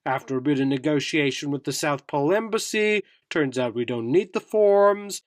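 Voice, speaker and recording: An actor playing a brisk, self-assured media colleague in radio drama dialogue. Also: male voice, on the high side, lively intonation, no echo, clean studio sound, close to an everyday voice. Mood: matter-of-fact.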